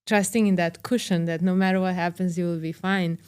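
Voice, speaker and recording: Young woman host from serbia, kinda low smooth voice, chatty, says "like" a lot. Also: steady tone, a little fast. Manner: warm, relating personally, fillers "like" and soft laughs. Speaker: podcast host. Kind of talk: conversational interview.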